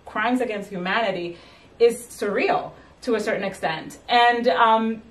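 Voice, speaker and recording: A woman hosting an interview, speaking in a halting way with many fillers and restarts. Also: a little high, roomy sound, distant mic, poor audio. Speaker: host and magazine editor. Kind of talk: conversational interview.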